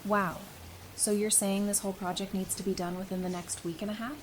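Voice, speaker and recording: Woman playing a playful survivor over noisy rumble. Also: flat delivery, fairly quick, lightly acted. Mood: curious. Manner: casual, playful. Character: warm, teasing, geeky woman in a post-apocalyptic survivor camp. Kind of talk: fan audio drama acting. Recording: noisy background with low rumble.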